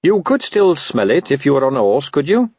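A man's voice: on the high side, sing-song, a little fast, darkish tone, no echo, dull audio, voice pushed up. A man voicing a mild, courteous, unflappable watchman in an audiobook, speaking politely and levelly. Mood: level, restrained. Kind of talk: audiobook character voice.

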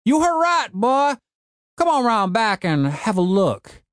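A man voicing a cranky old rural man who keeps zombie servants, grumbling in a gruff, drawling way. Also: sits high, very animated, a little slow, dry recording, studio quality, voice pushed up. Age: older.

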